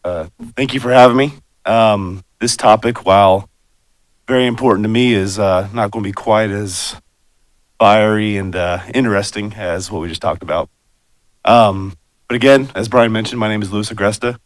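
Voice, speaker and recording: A man with a raspy voice, hoarse from a cold, presenting a plan to a city commission in a loose, conversational way with lots of fillers. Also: on the low side, fairly even intonation, normal speed, no echo. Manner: conversational, many fillers and asides. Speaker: bicycle and pedestrian planner presenting. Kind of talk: public meeting presentation.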